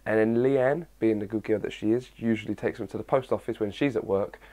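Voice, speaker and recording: A young man with a husky early-morning voice, chatting casually to his viewers, answering their questions and rambling with lots of fillers. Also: on the low side, fairly quick, dark tone. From England. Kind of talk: casual chat to camera.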